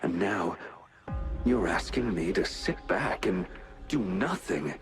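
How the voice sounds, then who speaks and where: A bitter, obsessive general from a dramatic game. His voice is very deep and he speaks slowly in weighty, halting phrases over clearly audible music. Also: expressive. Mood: brooding, bitter. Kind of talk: dramatic scripted game dialogue.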